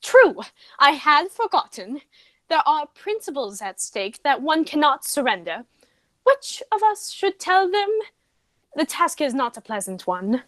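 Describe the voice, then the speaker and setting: A woman's voice: high-pitched, sing-song, a little slow, fairly bright tone, no echo, heavily acted. A woman acting a haughty, dignified young society lady in a radio play comedy, speaking in a formal, declarative way. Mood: grand, decisive.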